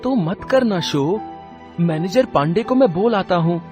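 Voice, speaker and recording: A man's voice: high-pitched, expressive, measured pace, over music. An actor playing a plain-spoken young man at a circus in a cartoon story, talking casually and with animation. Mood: concerned.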